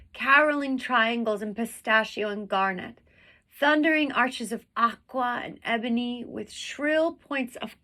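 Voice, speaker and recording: A woman reads a storybook aloud in a bright, fairly high voice. She takes her time, phrasing deliberately and dramatically and putting weight on the descriptive words. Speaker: teacher reading a picture book aloud. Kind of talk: expressive storybook read-aloud.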